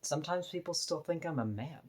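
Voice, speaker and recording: A woman with a deep voice, talking bluntly and frankly in a candid personal vlog monologue, laughing now and then between points. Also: animated, brisk, darkish tone. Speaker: vlogger.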